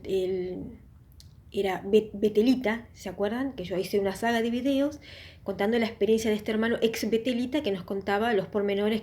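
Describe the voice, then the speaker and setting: Mellow-voiced woman hosting a faith channel. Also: mid-range voice. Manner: earnest and reflective, addresses viewers directly. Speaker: YouTube channel host. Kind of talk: casual religious testimony talk.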